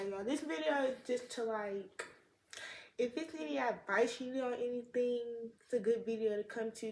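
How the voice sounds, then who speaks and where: A teenage girl with a slight lisp, chatting casually and rambling in slang as a vlogger, recorded on a tablet. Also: on the high side. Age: teens. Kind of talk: casual chat to camera. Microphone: tablet camera mic. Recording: echoey room, faint noise.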